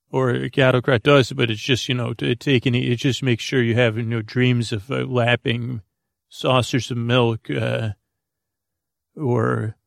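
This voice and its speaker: Gravelly, rambling man, close and dry. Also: mid-range voice, no echo. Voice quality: gravelly, creaky. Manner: meandering, halting, hesitant, trailing off into tangents; goofy, whimsical. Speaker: podcast host. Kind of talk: rambling, improvised bedtime monologue.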